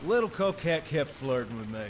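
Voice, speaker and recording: Hot-headed outlaw, animated voice. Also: male voice, on the high side, normal speed, heavy background noise. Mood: defensive. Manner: snappish, defensive. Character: hot-headed, defiant young outlaw brother. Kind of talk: western game cinematic acting.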